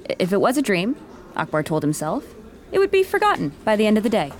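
A woman's voice: mid-range voice, wildly swooping pitch, fast talker. A woman delivering scripted third-person story narration as the narrator of an audio drama. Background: music.

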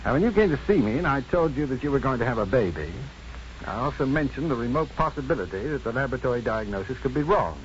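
An actor playing an assured, wry, kindly physician and professor in a 1950s radio drama, speaking in a measured, dryly witty, deliberate way. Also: male voice, medium pitch, expressive, close to an everyday voice. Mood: gentle, careful. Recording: muffled, faintly noisy 1950s radio broadcast.

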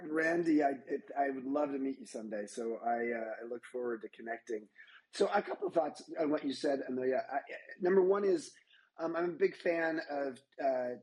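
A man in a casual conversation, calmly explaining ideas in a reflective way. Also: medium pitch, a little fast, rough recording.

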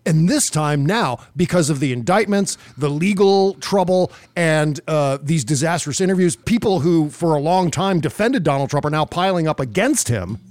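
Man, talk show host, fairly high pitch, emphatic, opinionated, casual political commentary, dry sound. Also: animated, moderate pace, hardly any pauses, darkish tone, no room sound. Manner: emphatic, opinionated, swears freely.

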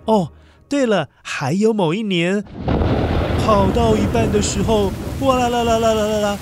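A man narrates a children's story in an expressive, dramatic way, with exclamations and sound words. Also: high voice, moderate pace. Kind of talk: animated scripted children's storytelling. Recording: music behind the voice. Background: music.

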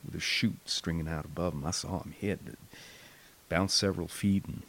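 An American man voicing a shaken paratrooper in an audiobook, quietly and haltingly recounting what he witnessed. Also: low-pitched, fairly even intonation. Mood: shocked, grim.

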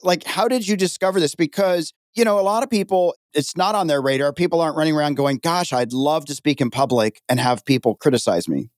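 Joking podcast host guy, slightly high voice, lively, dry mic. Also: brisk, few pauses, no room sound. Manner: animated, jokey, comic pacing. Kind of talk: podcast interview, conversational.

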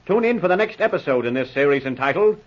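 A man's voice: on the high side, expressive, fast talker. A man announcing a radio serial in a scripted 1940s style. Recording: muffled old radio recording.

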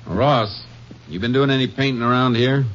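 An actor playing a steady, thoughtful Texas Ranger investigator, terse and matter-of-fact, in an old-time radio drama. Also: male voice, mid-range voice, moderate pace, background noise, natural voice. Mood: firm, questioning. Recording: muffled vintage radio recording.

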